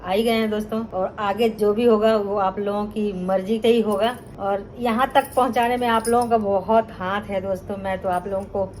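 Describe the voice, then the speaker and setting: A woman's voice: mid-range voice, fairly even intonation, normal speed, few pauses, a little mellow, a little hiss or hum. A middle-aged woman from Bihar, telling her life story to viewers in a chatty vlog and often calling them friends. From Bihar, India. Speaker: cooking channel host.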